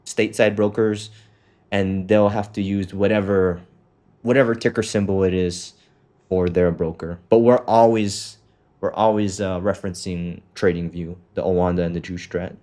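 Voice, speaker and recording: A fairly low-voiced man talks a student through a trading platform step by step. His tone is casual and loose, full of fillers, with the occasional swear dropped in. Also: fairly even intonation, moderate pace, dark tone. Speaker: trading coach. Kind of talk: casual walkthrough on a call.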